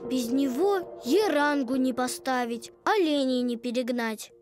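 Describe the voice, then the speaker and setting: A woman's voice: sits high, a little slow. A woman voicing a good-natured, earnest young boy from the Arctic tundra in a cartoon. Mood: proud, admiring. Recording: music behind the voice.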